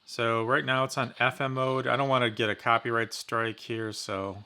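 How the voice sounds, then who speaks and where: A man with a bright, forward voice and a medium pitch talks through a product review. His delivery is casual and conversational, like an informal unboxing commentary. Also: flat delivery, normal speed, a little hiss or hum. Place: indoors in a basement.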